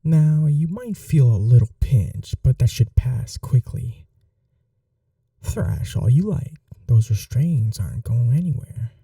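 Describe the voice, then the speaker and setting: A man's voice: medium pitch, animated, slow, creaky, dark tone, no echo, far from the mic, full character voice. A young man playing a smug, chatty mad scientist college roommate in an intimate ASMR audio roleplay, coaxing and condescending in a casual way.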